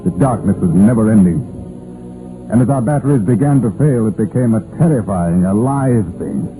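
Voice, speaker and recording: An actor playing a steady, probing police inspector in a 1950s radio drama, measured and coaxing. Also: male voice, on the low side, music in the background, close to an everyday voice. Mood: grim narration. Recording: muffled, noisy old radio broadcast.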